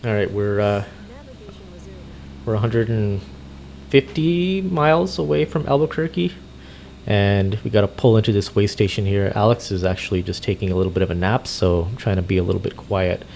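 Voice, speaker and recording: A man's voice: on the low side, normal speed, creaky, a little mellow, slight background noise. A man doing casual, hesitant improvised roleplay commentary while playing a trucking game. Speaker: host playing a truck driver character.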